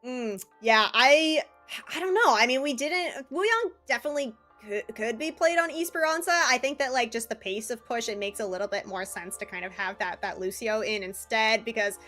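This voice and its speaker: A woman's voice: fairly high pitch, normal speed, sharp tone, soft background music. A woman giving analytical esports commentary in a conversational, explanatory way.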